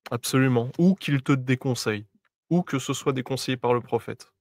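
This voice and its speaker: A man in a casual discussion, speaking in a measured way with fillers and hesitations. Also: mid-range voice, a little mellow, no echo, studio quality. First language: not Arabic.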